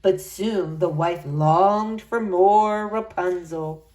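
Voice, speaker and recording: A woman reading a fairy tale aloud as an expressive storyteller. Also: on the low side, takes it slowly.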